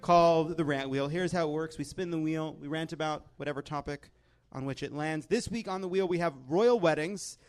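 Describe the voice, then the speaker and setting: A man with a somewhat high voice hosts a comedy podcast. He teases and riffs with dry sarcasm, moving between banter and announcements. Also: a little fast, smooth, faint music behind. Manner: wry, teasing sarcasm. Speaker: podcast host. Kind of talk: comedic panel show hosting and banter.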